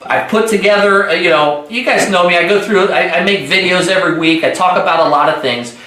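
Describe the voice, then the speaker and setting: A man's voice: sits high, fairly quick, pushed and bright. A man hosting a training call, giving direct, instructional sales coaching and repeating points for emphasis. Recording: echoey room livestream.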